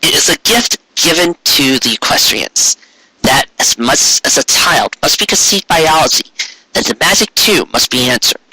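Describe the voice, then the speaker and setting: A man reading a story aloud as a dramatic narrator, with expressive emphasis and wry asides. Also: a little high, breathy edge, poor audio. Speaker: fan fiction reader.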